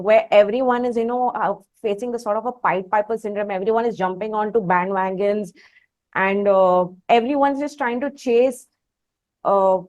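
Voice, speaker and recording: A woman with an Indian accent interviewing a guest, putting long conversational questions together hesitantly in fragments. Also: a little high.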